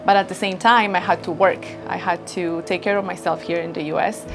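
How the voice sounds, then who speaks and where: A woman's voice: on the low side, fairly even intonation, a little fast, gravelly, poor audio. Grateful Ecuadorian-born woman founder, with music underneath. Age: 30s. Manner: earnest, grateful storytelling. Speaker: business founder. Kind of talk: interview answers. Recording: background music bed.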